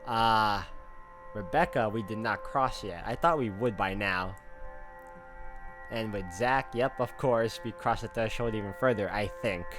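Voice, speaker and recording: A man's voice: lowish voice, measured pace. Man narrating a game over music. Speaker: gaming video host. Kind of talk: game walkthrough, reading story text aloud with casual comments.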